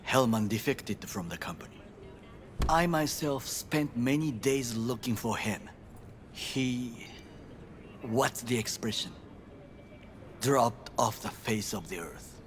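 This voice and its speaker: An actor playing a grave, proud middle-aged Japanese ex-bodyguard in a video game, speaking formal, clipped English with a Japanese accent. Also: male voice, mid-range voice, lively intonation, slow pace, pauses often, slight background noise. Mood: stern, guarded. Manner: formal, clipped, stern phrasing. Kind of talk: video game dialogue acting.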